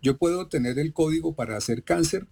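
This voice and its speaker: A man's voice: a little high, brisk, creaky, mellow, breathy edge. A middle-aged man from Colombia with a Bogotá accent, a doctor explaining at length and with emphasis as an interview guest. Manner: lecturing, emphatic, digressive.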